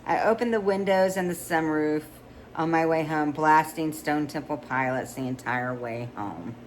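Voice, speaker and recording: A woman's voice: low voice, moderate pace, a little hiss or hum, low-quality recording. A woman reading a romance novel aloud in a conversational way, with small stumbles and restarts. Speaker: audiobook reader.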